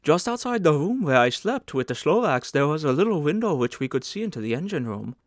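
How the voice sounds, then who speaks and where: A man's voice: fairly high pitch, very animated, fairly quick, no echo, clean studio sound, pitched above the performer's own voice. A man voicing a naive, anxious, polite young male emigrant with an earnest, eager manner in an audiobook. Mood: eager, curious. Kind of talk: audiobook character acting.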